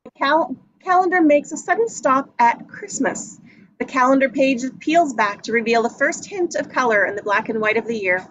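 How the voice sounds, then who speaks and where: A woman's voice: high voice, animated, normal speed, a bit bright, poor audio. A woman reading screenplay action descriptions aloud as scripted narration at a table read. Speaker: stage directions reader. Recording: noticeable background noise.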